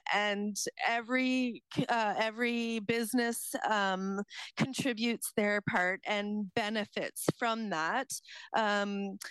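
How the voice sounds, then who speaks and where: Lady community reporter chatting casually, really clear smooth voice, dry sound. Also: fairly high pitch, a bit bright, dry recording, low-quality recording. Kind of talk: casual on-air chat.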